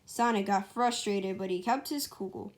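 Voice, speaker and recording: A woman's voice: mid-range voice, unhurried. A woman narrating her amateur story as writer and storyteller, informal and self-correcting, with casual asides.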